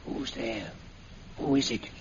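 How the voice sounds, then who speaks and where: An actor playing a defiant, stubborn old man held prisoner in a radio serial, with a gravelly voice and emphatic delivery. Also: mid-range voice, big pitch swings, slow, exaggerated voice. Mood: anxious, probing. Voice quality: creaky, gravelly. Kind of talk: 1940s radio serial acting. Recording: muffled, noisy old radio recording.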